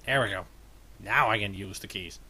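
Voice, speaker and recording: Finnish streamer in his 30s, American accent, bright tone, chatty game commentary. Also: on the low side, normal speed, slightly rough, low-quality recording. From Finland. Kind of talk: casual let's play commentary.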